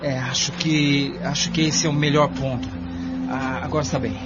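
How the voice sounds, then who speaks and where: A man's voice: mid-range voice, flat delivery, moderate pace, lightly acted. An actor playing an earnest, courteous man who leads a cellar dig in a radio drama, speaking formally with some hesitation. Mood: straining, hesitant. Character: earnest male neighbor leading a torchlit cellar dig. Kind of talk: amateur radio drama acting. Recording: muffled, clearly noisy radio drama recording.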